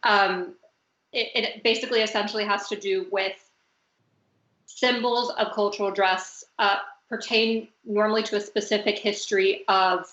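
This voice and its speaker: Woman from the US, young adult, monotone, hesitant with fillers, roomy muffled call audio. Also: medium pitch, moderate pace. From United States. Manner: hesitant, many fillers and hedges. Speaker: talk moderator. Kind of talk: panel discussion on a video call. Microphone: video call.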